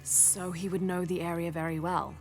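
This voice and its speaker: A woman's voice: fairly low pitch, flat delivery, moderate pace, natural voice. Woman as an earnest young adventurer, music behind. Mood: focused, calm. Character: earnest young female adventurer, caring and determined. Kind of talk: naturalistic video game acting.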